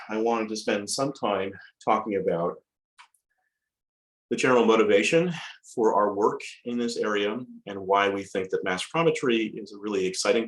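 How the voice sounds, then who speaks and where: A man with a slightly low, raspy voice, hoarse from a cold, delivers an academic talk through a video call. He speaks in a measured way, often pausing with ums and restarting phrases. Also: low-quality recording. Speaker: guest lecturer.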